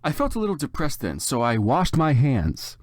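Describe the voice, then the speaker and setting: A man narrates a story theatrically, with exaggerated emphasis and hugely animated intonation. The voice sits at a medium pitch and sounds close and dry. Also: normal speed, no room sound. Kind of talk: dramatic comedic fan fiction reading.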